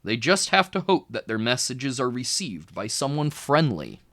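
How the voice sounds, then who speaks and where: A man reading a children's book aloud as a tutor, in expressive educational narration with deliberate phrasing and emphasis on key words. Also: mid-range voice.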